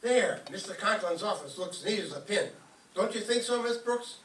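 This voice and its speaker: A man's voice: high voice, expressive, moderate pace, a bit bright. A man playing an eager, naive teenage schoolboy with gushing folksy slang in a radio comedy. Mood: eager, cheerful. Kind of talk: old-time radio comedy acting before an audience. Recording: roomy, distant microphone, faint noise.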